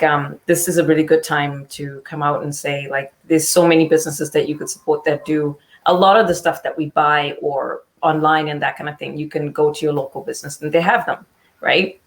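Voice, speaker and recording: A woman from Zimbabwe, a small business owner speaking in a casual panel chat, chatty and earnest, on a video call. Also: low voice. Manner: chatty, earnest, laughs easily.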